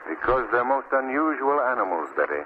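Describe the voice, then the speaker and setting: A man acting as a calm, knowing gentleman investigator in 1940s radio mystery style, speaking in a measured, polite way. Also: medium pitch, lightly acted. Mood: grave, composed. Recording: muffled, noisy old radio recording.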